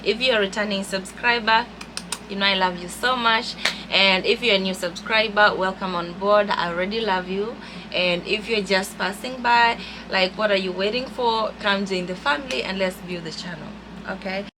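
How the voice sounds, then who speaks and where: A woman's voice: mid-range voice, moderate pace, noisy background. A young woman from Kenya with a Kenyan accent, talking casually and upbeat to her channel's viewers, often repeating words as she goes. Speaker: vlogger. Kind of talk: casual vlog talk to camera.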